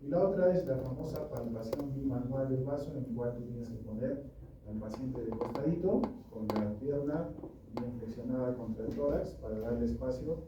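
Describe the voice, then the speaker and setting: A man's voice: medium pitch, moderate pace. A man giving an informal medical lecture, talking conversationally and often checking in with his listeners. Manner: conversational, keeps asking "¿no?" and "¿ya?". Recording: echoey room from far off, noisy.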